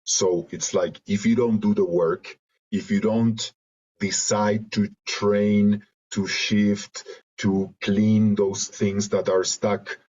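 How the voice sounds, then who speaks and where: A man from Argentina with a Spanish accent, reflecting in an interview in halting, searching phrases over a video call. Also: lowish voice, flat delivery, a little mellow, husky. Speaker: interview guest, creative and therapeutic facilitator. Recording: dull lo-fi video call audio.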